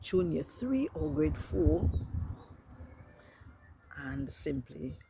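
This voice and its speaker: An older woman from Dominica, a veteran teacher, earnestly and reflectively recounting her career in a measured way. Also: low-pitched, big pitch swings, gravelly, noisy background. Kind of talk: personal reminiscence.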